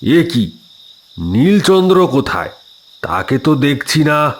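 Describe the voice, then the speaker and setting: A man playing a timid, easily frightened gentleman in a horror cartoon, with a theatrical delivery. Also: on the high side, very animated, slow, heavily acted. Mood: puzzled. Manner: puzzled, stammering, theatrical. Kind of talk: cartoon horror story acting.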